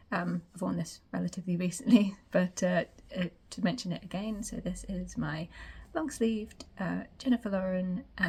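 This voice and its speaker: Woman, casual vlogger, croaky and gravelly, raspy edge, chatty rambling delivery. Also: mid-range voice, darkish tone. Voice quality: croaky morning voice. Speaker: sewing vlog host. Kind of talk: casual chat to camera.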